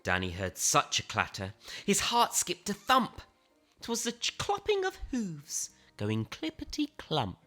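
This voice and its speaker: A woman reads a children's picture book with theatrical flair and suspenseful pauses. Her voice is bright and swoops widely in pitch, taking its time over the dramatic words while faint music plays behind her. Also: huge pitch swings. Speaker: storybook reader. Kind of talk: animated children's picture book read-aloud.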